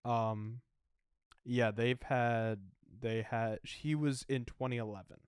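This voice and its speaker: A man co-hosting a podcast, chatting casually and haltingly as he reads out facts. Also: medium pitch, fairly even intonation, clear, resonant tone, dry recording, studio quality. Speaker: podcast co-host. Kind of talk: casual film podcast chat.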